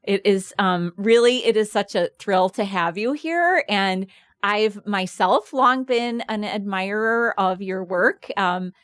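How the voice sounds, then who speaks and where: A woman's voice: mid-range voice, slow pace, a bit bright, clean tone, no echo, clean studio sound. A woman hosting an interview podcast, upbeat, gracious and welcoming. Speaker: podcast host. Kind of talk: interview conversation.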